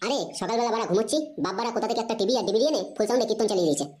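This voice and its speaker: A woman's voice: fairly high pitch, rapid-fire, lightly acted. A woman voicing a hot-tempered, foul-mouthed cartoon boy, brash and slangy, with a West Bengali accent. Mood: irritated. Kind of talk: comedy cartoon dialogue. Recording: clearly noisy background.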